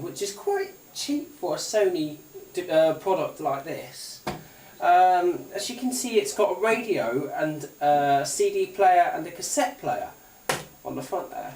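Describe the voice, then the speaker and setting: Male British gadget reviewer, hesitant and off-the-cuff, slightly high voice, picked up from a distance with faint noise. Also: darkish tone, echoey room. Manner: hesitant, rambling, unscripted. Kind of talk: casual product demonstration.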